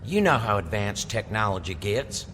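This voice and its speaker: A brash, crude adventurer in an audio drama. His bright voice jumps all over the place as he talks, and the sound carries a long reverb with faint ambience behind it. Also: on the low side, big pitch swings, moderate pace, a little hiss or hum. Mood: tender.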